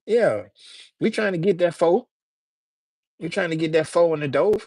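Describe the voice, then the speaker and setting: A man's voice: on the high side, normal speed, a little mellow, dry recording, clean studio sound. Slangy man chatting on a livestream. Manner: loose, slangy, talks straight to chat. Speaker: livestream host. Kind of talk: casual livestream chat with viewers.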